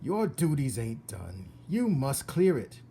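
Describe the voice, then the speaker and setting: A man voicing a bad-tempered, bossy woman cook in a storybook, scornful and barking out orders loudly. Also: mid-range voice, big pitch swings, slow pace, a little hiss or hum, pitched above the performer's own voice.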